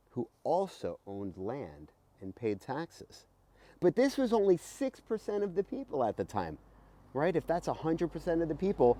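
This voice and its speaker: A middle-aged man, a teacher, reading a children's book aloud in an expressive, emphatic way with explanatory asides. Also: medium pitch. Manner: expressive, emphatic, with rhetorical questions. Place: outdoors beside a house.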